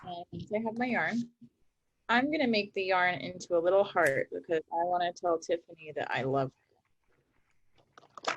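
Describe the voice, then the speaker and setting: A woman's voice: on the low side, moderate pace, pauses often, dull audio. A young woman presenting a workshop, cheerfully explaining each craft step as she works. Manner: upbeat, explains steps while working. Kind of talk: instructional craft demonstration.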